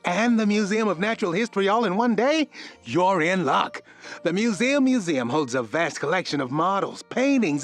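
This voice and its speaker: Chirpy civic booster man for a radio comedy promo: high voice, swooping delivery, salesman polish. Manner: upbeat, salesman-like, theatrical. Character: cheerful small-town tourism promoter, folksy civic booster. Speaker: tourism board spokesman. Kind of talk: scripted promotional narration.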